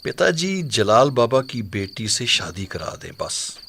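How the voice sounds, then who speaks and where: A man's voice: mid-range voice, very animated, unhurried, gravelly, pushed and bright, slight background noise, exaggerated voice. A man playing an arrogant, entitled army captain and son in a radio drama.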